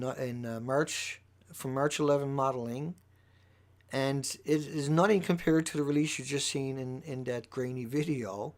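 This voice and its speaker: A man with a squeaky voice giving an impassioned, off-the-cuff livestream monologue, urgent and emphatic, repeating phrases for stress. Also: vocal fry. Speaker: livestream host.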